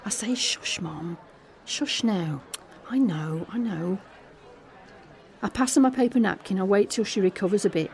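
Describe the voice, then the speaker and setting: Audio drama lead: actress as frank, guarded young daughter, warm dark tone, intimate conversational monologue. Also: female voice, medium pitch, a little slow, some chatter behind, lightly acted. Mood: hushed, soothing. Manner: confiding, conversational storytelling that re-enacts dialogue. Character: Alex, young woman, guarded, frank, tender daughter confiding a secret.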